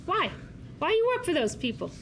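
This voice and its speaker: A woman's voice: extremely high pitch, wildly swooping pitch, moderate pace, background noise, exaggerated voice. A woman playing a stern older salon owner, blunt and scolding. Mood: disapproving.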